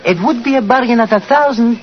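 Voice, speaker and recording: An actor playing a sly, greedy North African cafe owner and informant, haggling in 1940s radio style. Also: male voice, very high-pitched, fast. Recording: dull old radio broadcast.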